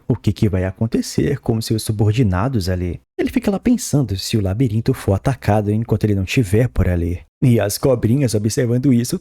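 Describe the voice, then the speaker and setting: A man's voice: medium pitch, warm tone, slightly rough, no echo, studio quality. A Brazilian man narrating a comic recap in an informal, colloquial storytelling way. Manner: colloquial, storytelling with slangy diminutives.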